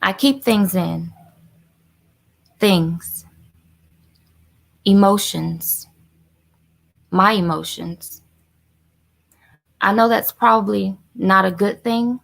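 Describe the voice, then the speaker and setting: A woman performing an audition monologue as a guarded woman, speaking in a halting, confessional manner. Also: mid-range voice, vocal fry, a bit bright, full character voice, poor audio. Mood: guarded, subdued. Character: guarded woman confessing she bottles up emotions.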